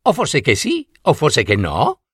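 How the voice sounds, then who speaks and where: A man's voice: fairly high pitch, huge pitch swings, a little slow, fairly bright tone, husky, no room sound, clean studio sound, pitched above the performer's own voice, full character voice. A man voicing a wise, riddling female fox who keeps the door of a burrow and speaks in a teasing singsong.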